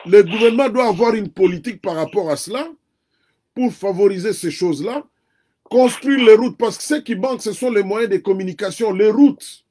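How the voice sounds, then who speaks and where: A man's voice: high-pitched, moderate pace, warm tone. A Congolese man whose first language is Kikongo, speaking as an interview guest in earnest conversational commentary and building his argument point by point. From Democratic Republic of the Congo. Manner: earnest, argues point by point with repetition.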